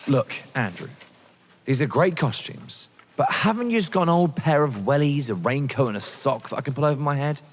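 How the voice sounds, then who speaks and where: An actor playing a down-to-earth young lover drawn into a scheme, with a wary, dry, protesting manner, in a stage-thriller audio drama. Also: male voice, fairly high pitch, animated, moderate pace, a little hiss or hum, lightly acted. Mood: dry, impatient. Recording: long hall-like reverb.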